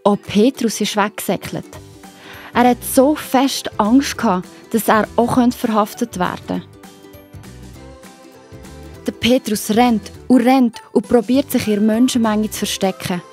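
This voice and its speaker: A woman's voice: on the high side, measured pace, darkish tone, soft background music. A woman with a Swiss German accent narrating a scripted children's Bible story expressively, speaking directly to young listeners.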